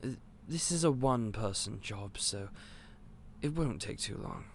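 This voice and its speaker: Eccentric, excitable candy shop keeper, voiced by a man. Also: lowish voice, a little slow, gravelly, a little hiss or hum. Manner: gentle, polite, excitable and a little scatterbrained. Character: eccentric otherworldly male candy store owner. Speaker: audio roleplay performer. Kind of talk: scripted audio roleplay to the listener.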